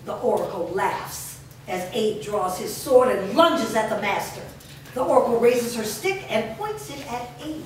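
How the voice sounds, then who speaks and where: A woman's voice: fairly high pitch, lively intonation, measured pace. A woman reading stage directions aloud as scripted dramatic narration. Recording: echoey room, distant mic, faint noise.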